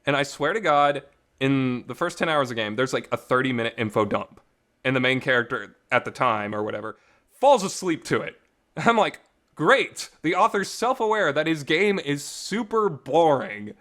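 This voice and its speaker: A man's voice: medium pitch, a bit bright. A man hosting a casual, unscripted podcast-style review, speaking colloquially and emphatically with some mild swearing, in a reverberant makeshift home recording. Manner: colloquial, mildly profane, emphatic. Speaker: host of a visual novel review channel.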